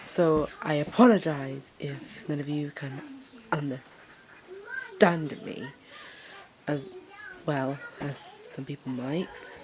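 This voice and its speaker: Woman, very low deep voice, nervous casual host introducing her story channel, lots of fillers. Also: big pitch swings, slow, low-quality recording. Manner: nervous, hesitant, many fillers. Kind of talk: casual self-introduction to camera.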